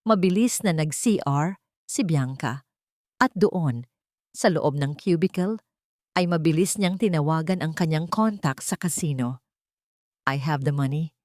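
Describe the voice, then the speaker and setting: A woman's voice: deep voice, animated, unhurried, darkish tone, dry recording, studio quality. A woman narrating a scripted dramatic story in an audiobook style.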